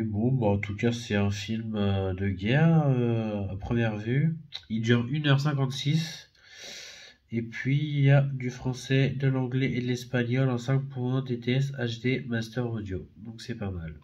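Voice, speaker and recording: A man with a cold chats about his discs in a middle-pitched voice, stumbling over fillers and correcting himself as he goes. The recording is slightly muffled and lo-fi. The overall feel is relaxed and unpolished. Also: measured pace. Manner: rambling, hesitant with many fillers and self-corrections. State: has a head cold. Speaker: DVD and Blu-ray collector. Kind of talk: casual unboxing video.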